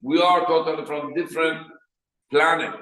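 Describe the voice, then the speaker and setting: A man's voice: fairly high pitch, steady tone, moderate pace, a bit bright, echoey room. A man with a Persian accent giving a workshop lecture on marriage as a rabbi, in plain short sentences, raising his voice to act out quoted speakers. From Iran.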